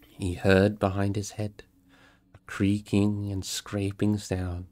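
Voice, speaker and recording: A man with a creaky voice, hosting a livestream where he chats casually and reads a book aloud expressively. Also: lowish voice, completely flat delivery, takes it slowly, a little mellow. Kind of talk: casual livestream chat and expressive storybook narration.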